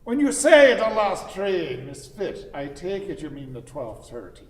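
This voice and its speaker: An actor playing a fussy, courteous gentleman in a radio play, speaking formally and emphatically with earnest deliberation. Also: high voice, big pitch swings, measured pace, recorded from a distance, exaggerated voice. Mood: pedantic, insistent. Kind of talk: stage radio play acting. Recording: echoey hall, background noise.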